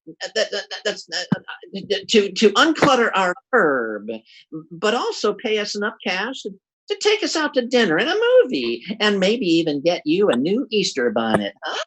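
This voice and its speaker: A man's voice: high voice, sing-song, normal speed, fairly bright tone, husky, exaggerated voice, low-quality recording. A man playing a boastful, absent-minded husband in old-time radio comedy, stammering through his folksy bluster. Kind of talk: classic 1940s radio comedy acting.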